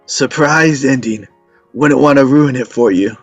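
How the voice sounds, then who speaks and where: A man's voice: medium pitch, measured pace, dry recording, soft background music. An actor in a script table read playing an ailing old father, a stubborn, folksy teller of tall tales who dodges with jokes. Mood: wry, assured. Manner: folksy, evasive, joking storyteller.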